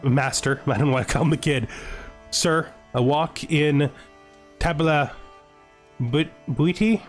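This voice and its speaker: A man with a fairly high-pitched voice hosts a casual let's play of a strategy roleplay game. He thinks out loud and reads bits of game text as he goes. Music plays behind his voice. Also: slow. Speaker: gaming video host. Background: background music.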